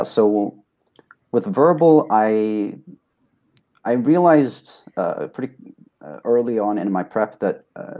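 A young man from Sweden, a native Swedish speaker, giving casual, halting, modest answers as an interview guest. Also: on the low side, warm tone. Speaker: interview guest, test taker.

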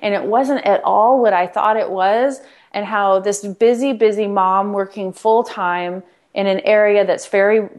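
A middle-aged woman from Nebraska speaks as a podcast guest. She tells her story earnestly, with animated, conversational warmth. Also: medium pitch, a little slow. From Nebraska, United States. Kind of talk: conversational interview.

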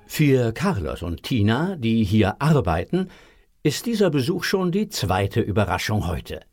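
A man narrating a children's audio adventure in an expressive, husky storytelling voice. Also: mid-range voice, unhurried, no room sound, faint music behind.

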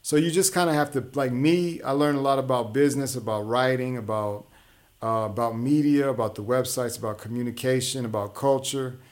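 A man's voice: medium pitch, a little fast. A man hosting his own show, talking through ideas in a casual monologue with frequent restarts and fillers. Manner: conversational, explanatory, many restarts and fillers. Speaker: show host.

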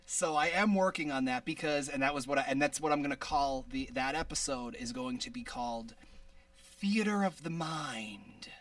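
A man with a high-pitched voice hosting a casual podcast, rambling with many restarts and laughs. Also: animated, moderate pace, a bit bright, no echo, far from the mic. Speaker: podcast host.

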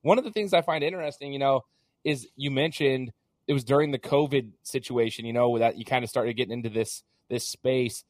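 A man hosting a podcast interview in a casual, chatty way, hoarse as he gets over an illness. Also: mid-range voice, a little fast, no room sound, clean studio sound. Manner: chatty, many fillers and restarts. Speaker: podcast host.

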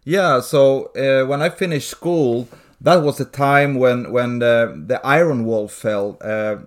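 Man, late 40s, earnest, steady, small restarts, interview guest. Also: mid-range voice, slow. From Sweden. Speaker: guest, missionary. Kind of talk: interview storytelling.

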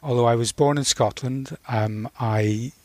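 A man in his sixties from Northern Ireland, reflecting as an interview guest in a thoughtful, halting way, searching for words. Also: medium pitch, steady tone, no room sound.

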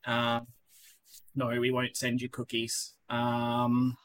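Bright-voiced man hosting a casual podcast. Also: mid-range voice, monotone, slow. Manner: rambling, many fillers and restarts. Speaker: podcast host.

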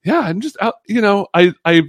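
A man in his thirties chatting casually as a podcast guest, halting and rambling, with a joking streak. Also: high voice, expressive, no echo, clean studio sound. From born in the Bahamas. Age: 30s. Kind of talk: casual chat.

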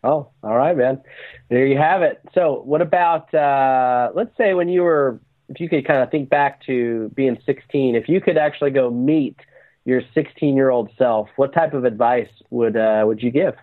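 Tinny phone-like sound, male interviewer, medium pitch, casual questioning. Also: moderate pace. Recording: tinny, narrow phone-like line.

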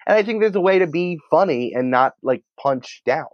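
A man talking casually as an interview guest, in an excitable, rambling, informal way peppered with filler words. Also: fairly high pitch, a little fast, dry recording, muffled recording. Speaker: podcast writer and producer, interview guest.

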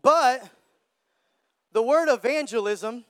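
A man in his thirties preaching a sermon with energy, joking with the crowd and shouting for emphasis. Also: extremely high pitch, slow pace. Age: 30s.

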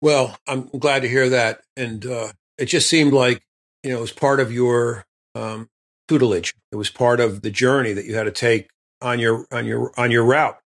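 A man's voice: medium pitch, fairly even intonation, moderate pace, fairly bright tone. Rambling male interviewer, filler-heavy. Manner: rambling, long leading questions full of fillers. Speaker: interviewer host. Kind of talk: conversational interview.

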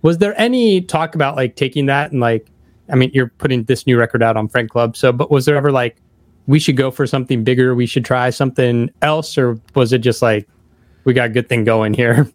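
Male podcast interviewer, mid-pitched, dry recording, informal and a little rambling in casual conversation. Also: brisk, dry recording. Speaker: podcast host.

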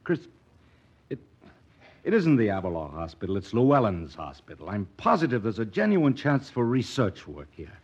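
Intense young doctor in an old radio play, swingy pitch, husky, muffled sound. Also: male voice, huge pitch swings, normal speed, a little mellow, exaggerated voice. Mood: downcast, frustrated. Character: earnest, dedicated young doctor in a mining town. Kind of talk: 1940s radio drama acting.

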